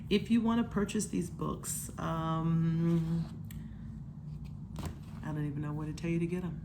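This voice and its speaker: A woman with a slightly low voice leads an informal lesson for children. She explains things in simple, conversational language. Like a teacher, she often poses questions and checks that her young listeners understand. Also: fairly even intonation, measured pace, background noise. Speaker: children's storytime host.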